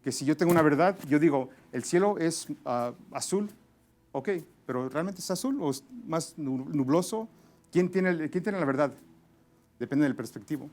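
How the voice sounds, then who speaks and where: Male preacher, slightly high voice, halting bilingual delivery with frequent restarts. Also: animated, darkish tone. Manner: halting, many restarts and fillers, searching for Spanish words. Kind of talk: sermon.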